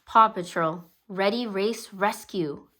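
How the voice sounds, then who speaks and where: A woman reading a children's storybook aloud in a gentle, steady storytelling manner, with small slips now and then. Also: medium pitch, takes it slowly. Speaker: storybook reader. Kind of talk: children's read-aloud narration.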